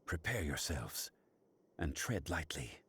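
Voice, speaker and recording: An actor playing a composed, scholarly gentleman leader in a video game, speaking formally and with authority. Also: extremely low pitch, big pitch swings, takes it slowly, breathy edge, exaggerated voice. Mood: grave, commanding. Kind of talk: video game character acting.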